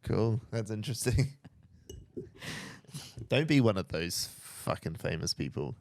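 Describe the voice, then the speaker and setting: A man co-hosting a podcast, croaky from a late night, with dry, teasing banter. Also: lowish voice, animated, takes it slowly, a little mellow, no echo. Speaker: podcast co-host. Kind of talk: casual chat.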